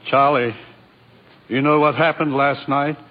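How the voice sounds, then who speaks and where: A man's voice: medium pitch, fairly even intonation, measured pace, lightly acted. An actor playing an older, flustered but dignified judge and grandfather in an old-time radio drama, fussy and hesitant. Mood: troubled. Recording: muffled old radio broadcast, hiss.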